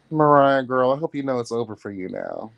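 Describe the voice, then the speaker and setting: A man's voice: medium pitch, fairly bright tone, no room sound. A man giving casual celebrity gossip commentary as a livestream host, with a sassy, catty, blunt and slangy way of talking.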